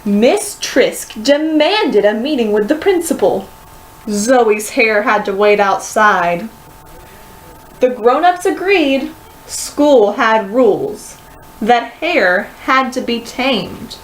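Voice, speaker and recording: A woman reading a children's story aloud with expressive, dramatic and deliberate phrasing. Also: a little high, a little hiss or hum, poor audio. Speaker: storybook reader.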